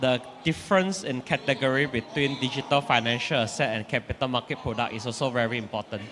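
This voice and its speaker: A man's voice: medium pitch, fast. A man from Singapore, explaining points as a panelist in a live panel discussion. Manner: explanatory, adds points from a global view. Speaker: company executive, panelist. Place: conference stage at a live event. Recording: reverberant live conference hall.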